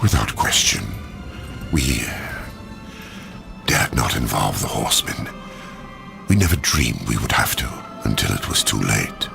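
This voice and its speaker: An actor voicing a grave, anxious, dignified old angel in a video game, speaking formally and theatrically. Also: male voice, a bass voice, animated, measured pace, creaky, pauses often, over music, heavily acted. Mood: regretful. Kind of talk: video game drama acting.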